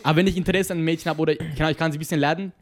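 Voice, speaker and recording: A man's voice: on the high side, steady tone, very fast. A young man co-hosting a podcast, chatting casually with friends in slangy street talk and laughing often. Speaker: podcast co-host. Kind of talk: casual chat among friends.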